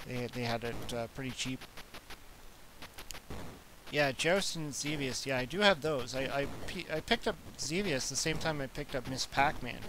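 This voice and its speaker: A man's voice: mid-range voice, moderate pace. Chatty Canadian man, hissy recording. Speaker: retro gaming channel host. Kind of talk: casual live-stream chat.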